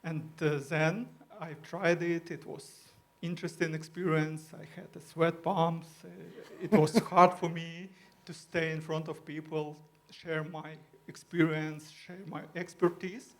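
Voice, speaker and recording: A man with a Ukrainian accent and a somewhat high voice answers panel questions on a conference stage. His English is careful and halting, full of pauses and fillers, with a shy, understated delivery. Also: little pitch movement. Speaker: panelist, user group organizer. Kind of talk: live panel discussion. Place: conference stage before an audience.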